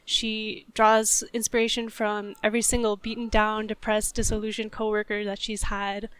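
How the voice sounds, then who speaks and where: A woman's voice: medium pitch, completely flat delivery, moderate pace, fairly bright tone, smooth, dry recording. A woman co-hosting a podcast, talking through a topic in a casual, analytical and thoughtful way. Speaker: podcast host.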